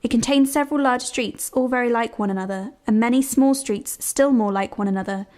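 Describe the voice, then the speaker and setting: A woman reads descriptive literary prose as a narrator. Her voice sits a little on the high side. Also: fairly quick, darkish tone.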